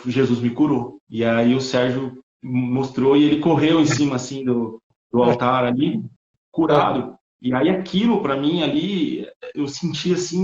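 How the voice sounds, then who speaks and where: A man, a missionary guest on a video call, recounting a church trip in a halting way and repeating his words. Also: medium pitch, a little mellow. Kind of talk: casual testimony. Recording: echoey, lo-fi call audio.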